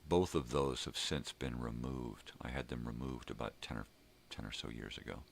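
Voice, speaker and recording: An older man with a very deep, gravelly voice chats casually in a rambling, drawn-out way, as a vlog host. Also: slight background noise. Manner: rambling, drawn-out, mild swearing.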